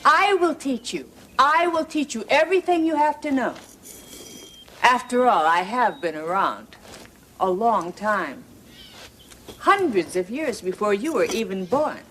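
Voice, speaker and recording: Centuries-old witch mother, cloying, controlling, theatrical, highish swooping pitch, fairy tale drama. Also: sing-song, unhurried, a bit bright, a little hiss or hum. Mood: ominous, instructive. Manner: cooing, controlling, theatrical delivery.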